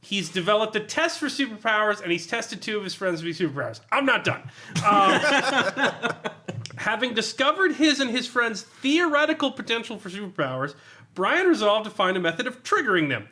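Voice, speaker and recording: A man with a high-pitched, bright and forceful voice talks through a game book like he's entertaining friends. He is animated and sardonic, swears freely, and delivers it all with obvious amusement. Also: normal speed. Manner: animated, amused, often laughing while talking; profane, sardonic. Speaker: podcast reviewer of role-playing books. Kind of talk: casual comic review among friends.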